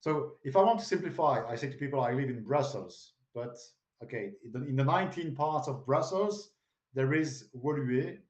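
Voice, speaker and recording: A man's voice: medium pitch, lively intonation, moderate pace, darkish tone. Belgian man with a French accent, echoey. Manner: hesitant, lots of fillers while sharing screen. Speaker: web developer guest. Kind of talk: casual video-call interview. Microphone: video call.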